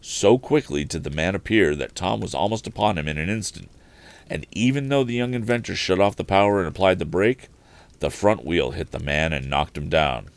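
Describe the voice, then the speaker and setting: A man reading an adventure story aloud in an expressive audiobook style. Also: sits low, moderate pace, dry recording.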